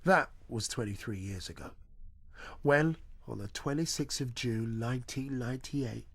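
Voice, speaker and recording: A man playing a nostalgic, washed-up supply teacher, telling his story as a deadpan scripted comic monologue. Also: medium pitch, measured pace, dry recording. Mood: rueful, deadpan.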